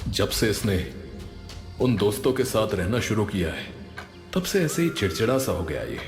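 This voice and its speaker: A man voicing an earnest middle-aged village father who gently reproves, in a moral story. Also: lowish voice, very animated, moderate pace, roomy sound, soft background music. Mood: concerned. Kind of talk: animated moral story dialogue.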